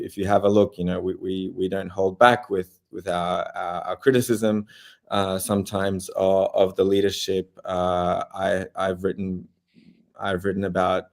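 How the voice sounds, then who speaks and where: A young man with an Australian accent talks in a deep, even voice that barely rises or falls. He answers at length, stopping and restarting often and filling gaps with "uh". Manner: halting, many fillers and restarts. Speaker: journalist guest. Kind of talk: interview answers.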